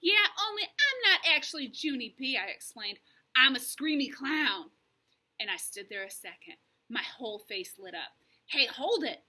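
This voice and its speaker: A woman voicing an excitable, mischievous little girl who narrates a children's storybook theatrically, in childlike grammar. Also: sits high, moderate pace, heavily acted. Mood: excited, proud. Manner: theatrical, childlike grammar, dramatic shrieks and hushes. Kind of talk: animated children's storybook reading.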